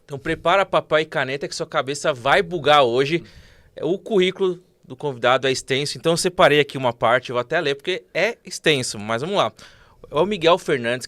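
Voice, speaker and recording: A man hosting a finance interview show, giving energetic intros. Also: fairly high pitch, no echo. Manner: energetic intros, frequent "uhum" backchannels.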